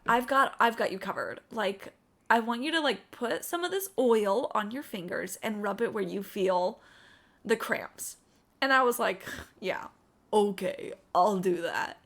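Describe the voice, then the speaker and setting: A woman live streaming a game, chatting casually with expressive storytelling and casual swearing. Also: fairly high pitch. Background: game music.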